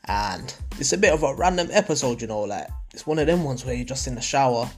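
A man's voice: medium pitch, lively intonation, fast, soft background music. A young man hosting a podcast in laid-back slangy banter, laughing often. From Portugal. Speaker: podcast host. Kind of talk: casual podcast chat.

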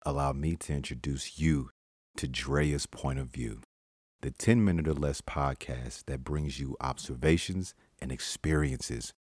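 A man reading a scripted podcast introduction with a smooth, deliberate delivery. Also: very deep voice, steady tone, a little mellow, no echo, studio quality. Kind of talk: scripted promo voice-over.

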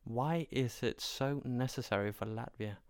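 A man's voice: mid-range voice, measured pace, a little mellow, no echo, clean studio sound. A man from Denmark with a Danish accent speaks in an emphatic, argumentative way, driving points home with repeated phrases in an opinionated conversation.

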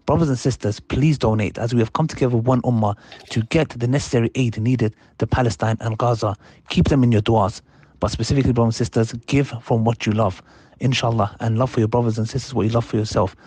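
A man's voice: medium pitch, steady tone, quick pace, warm tone, husky, no echo, poor audio. A man making an urgent, pleading charity appeal for donations.

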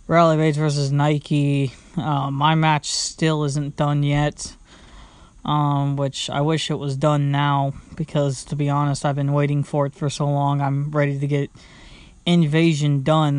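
Young man, casual solo podcast, unhurried, rambling with fillers, dry close sound. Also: on the high side, clean tone, no echo. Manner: rambling, lots of fillers and restarts. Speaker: podcast host. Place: at home, sitting indoors.

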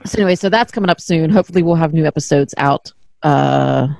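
A woman's voice: low voice, quick pace, gravelly, a little mellow, dry recording, studio quality. A middle-aged woman hosting a podcast in casual chat, candid and self-deprecating, swearing freely. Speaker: podcast host.

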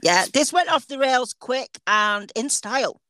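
A woman co-hosting a podcast in casual chat, teasing with sarcastic, deadpan remarks. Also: high voice, measured pace, no echo. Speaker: podcast co-host.

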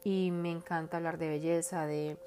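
A woman's voice: lowish voice, little pitch movement, moderate pace, dark tone, faint music behind. A woman hosting her own podcast in a casual, earnest and heartfelt monologue, rambling with lots of fillers. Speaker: podcast host.

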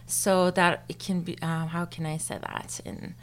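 A woman's voice: lowish voice, level tone, measured pace. A young woman from Turkey, whose first language is Turkish, answering interview questions in a thoughtful way with many fillers and small laughs. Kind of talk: casual interview.

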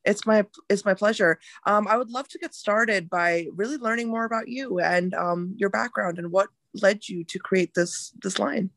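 A woman hosting a podcast, lively and quick to react with exclamations. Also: mid-range voice, creaky, no echo. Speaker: podcast host. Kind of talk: casual podcast interview.

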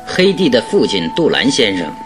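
An actor in a radio drama playing a genial, self-assured detective with a teasing, politely probing manner. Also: male voice, mid-range voice, huge pitch swings, normal speed, over music. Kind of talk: Chinese radio drama acting.

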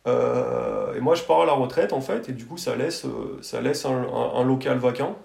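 A man speaks with a gravelly, creaky voice at a medium pitch, in a roomy, echoing space. He tells his story as a podcast guest, casually and colloquially, often hesitating and adding little verbal tags. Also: moderate pace, a little mellow.

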